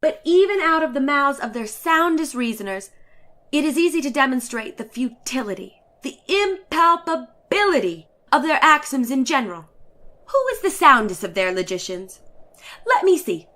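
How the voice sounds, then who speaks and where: A woman with a very high, bright voice performs a dramatic reading of a classic story. Her delivery is theatrical and emphatic, with precise, formal phrasing. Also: very animated, moderate pace. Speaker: reader of a short story.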